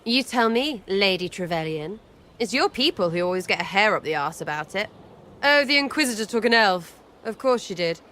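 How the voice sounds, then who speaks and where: A woman's voice: medium pitch, expressive, normal speed, sharp tone, a little hiss or hum. An actress voicing a cheeky, irreverent young elf woman who teases and uses crude slang. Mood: teasing. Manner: playful, teasing, crude slang. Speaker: companion character.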